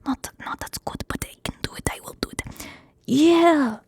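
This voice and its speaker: A woman's voice: sits high, moderate pace, vocal fry, darkish tone. A woman ASMR streamer whispering a script reading and chatting playfully in jokey broken English with a put-on Russian accent, into a streaming microphone at home.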